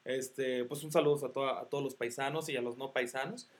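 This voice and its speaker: A man's voice: mid-range voice, steady tone, fairly quick. A man with a Mexican accent hosting a casual tech podcast, rambling with many fillers and self-interruptions. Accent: Mexican Spanish. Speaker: podcast host.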